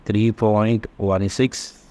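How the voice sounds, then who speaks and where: Slow, low-pitched man teaching calculations. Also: fairly even intonation, dark tone. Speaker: electrical engineering lecturer. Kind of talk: step-by-step worked math tutorial.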